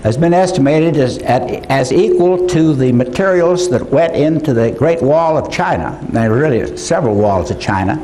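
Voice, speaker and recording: An older man giving a conference lecture, halting, with many fillers and restarts. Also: on the high side, lively intonation, dark tone, a little hiss or hum. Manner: slow, halting delivery with frequent fillers. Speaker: conference speaker. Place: conference hall.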